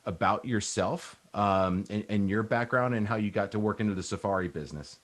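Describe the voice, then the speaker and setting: A man with a fairly low voice hosts a casual interview podcast. His delivery is informal and slangy, like chatting with an old friend. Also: fairly quick. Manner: informal, slangy, mild swearing. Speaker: podcast host.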